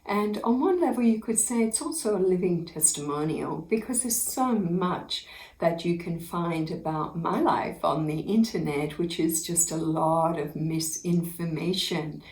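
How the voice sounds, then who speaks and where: A woman with a fairly low voice tells stories from her own spiritual journey at a very slow, unhurried pace, earnest and quick to laugh. Her voice rings in a noticeably echoey room. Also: a little mellow. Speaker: spiritual teacher. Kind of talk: inspirational personal storytelling to camera.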